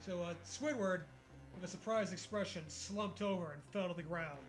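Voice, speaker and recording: A man's voice: high voice, normal speed, rough recording. A man reading a story aloud in a casual, mocking, sweary way as a comedy co-host. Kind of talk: casual comedic read-along with commentary. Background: background music.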